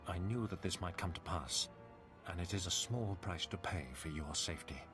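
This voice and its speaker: A male actor as a resigned noble father in a sci-fi game. His deep voice is solemn and protective, set over prominent music. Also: normal speed, close to an everyday voice. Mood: resigned, tender. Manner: gentle, solemn. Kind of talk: video game voice acting.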